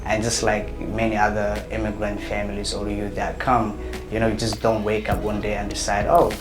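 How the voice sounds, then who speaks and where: A man's voice: fairly low pitch, monotone, moderate pace. A young man, talking hesitantly with many fillers in an interview. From Somalia. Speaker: interviewee, writer and actor. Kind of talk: casual interview. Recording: roomy with loud music behind.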